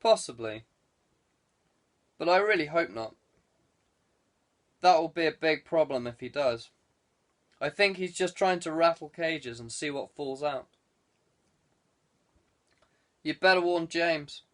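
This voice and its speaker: A man's voice: on the high side, lively intonation, unhurried, pauses often, fairly bright tone. A man voicing a clever, guarded man who speaks with courteous evasiveness in an audiobook. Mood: wary. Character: guarded, clever man fending off police questions. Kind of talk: audiobook character dialogue.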